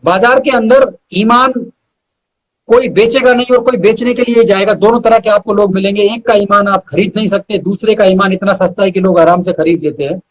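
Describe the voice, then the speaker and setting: A man speaking as a guest poet in a live interview, reciting verse and explaining it, emphatic and repeating lines deliberately. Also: sits high, steady tone, poor audio.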